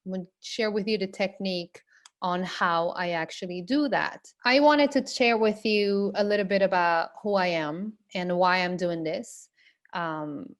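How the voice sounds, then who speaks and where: A woman from Morocco, an online business coach, giving a live online class in an earnest way with frequent fillers and restarts. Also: fairly low pitch.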